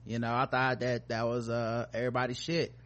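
A man's voice: mid-range voice, flat delivery, fairly quick, a bit bright, muffled recording. A man hosting a podcast with casual comedic commentary, joking in slangy, profane language. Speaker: podcast host.

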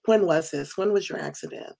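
Husky, gravelly-voiced sniffly podcast host. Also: mid-range voice, fairly quick, warm tone. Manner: empathetic, shares own burn survivor story. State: sniffly, runny nose. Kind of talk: casual interview chat.

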